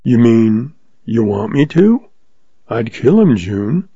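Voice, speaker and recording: A man voicing a steady young cowboy who talks slowly and plainly, in a dull lo-fi recording. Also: medium pitch, gravelly, breathy edge, no room sound, exaggerated voice. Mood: quiet. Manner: slow and plain-spoken. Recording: dull lo-fi audio.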